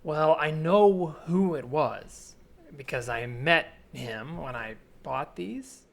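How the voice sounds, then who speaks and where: A man's voice: a little high, slow, creaky, pitched above the performer's own voice, full character voice. A man improvising a comedy scene as a sheepish, obsessive man asking a favour, rambling and hesitant. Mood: obsessive, pleading. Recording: remote recording with faint background noise.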